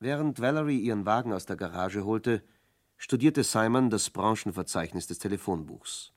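A man's voice: fairly low pitch, expressive, normal speed. A man narrating a scripted German radio crime drama.

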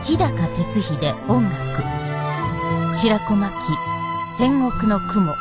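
A woman's voice: mid-range voice, animated, extremely slow. A woman delivering scripted, dramatic narration for a Japanese radio drama. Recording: loud music under the voice. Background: prominent music.

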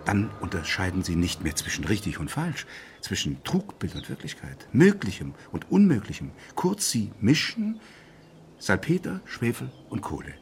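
An actor playing a self-assured, eccentric male doctor in a radio crime drama, giving clinical pronouncements with deliberate pauses. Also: on the low side, wildly swooping pitch, slight background noise. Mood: confident, musing.

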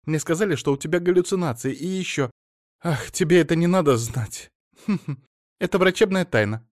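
A man voicing an excitable, flighty wife who chatters fast and breathlessly, recorded dry and close. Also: fairly high pitch, no echo, voice pushed up, close to an everyday voice. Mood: chattering.

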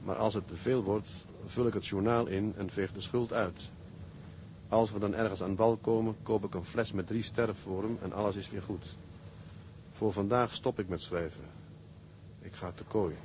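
Male actor as seaman writing to his sweetheart, lowish voice, measured, intimate, radio drama, noisy ship ambience. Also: fairly even intonation, a little mellow, close to an everyday voice. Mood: tender, reflective. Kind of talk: radio drama letter narration.

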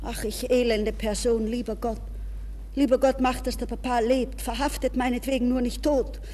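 Actress doing high voiced young lady, overwrought and dreamy, 1960s radio play monologue, big echoey reverb. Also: level tone, moderate pace, dark tone, lightly acted. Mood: anxious, pleading. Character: desperate, dreamy, overwrought young Viennese woman from good family.

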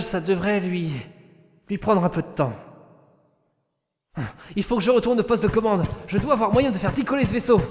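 A man's voice: high-pitched, natural voice. A man acting in an audio drama as a frantic, cursing lone space pilot who mutters to himself. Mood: exasperated. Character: panicked, foul-mouthed lone space pilot trapped with a killer drone. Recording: echoey reverberant hall, loud music behind.

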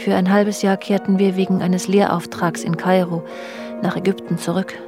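A woman's voice: on the low side, little pitch movement, moderate pace, natural voice. An actress in a German radio drama playing a worldly wife who confides in her husband about their restless, jealous marriage. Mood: wistful, reflective. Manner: literary, confiding, addressing her husband directly. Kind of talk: German radio drama, intimate narration and dialogue. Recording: music behind the voice. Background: music.

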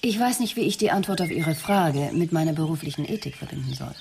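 A careful evasive woman therapist, really low creaky voice, radio crime play, bit of noise behind. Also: animated, quick pace, a little mellow. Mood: guarded. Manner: evasive, explanatory, precise. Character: guarded, professional married female psychotherapist under questioning. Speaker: actor in a radio crime drama. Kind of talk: German radio drama dialogue.

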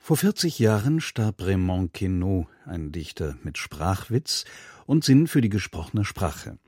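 Husky, mellow low-voiced male presenter. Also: sing-song, unhurried, no room sound. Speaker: radio presenter. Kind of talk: scripted radio introduction.

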